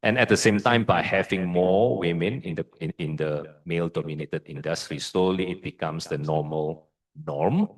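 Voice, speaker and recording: A man with an Indonesian accent answers questions from a lecture audience. His voice sits low and slightly husky. He speaks in a measured, hesitant way, with frequent fillers and restarts. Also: poor audio. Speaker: guest lecturer.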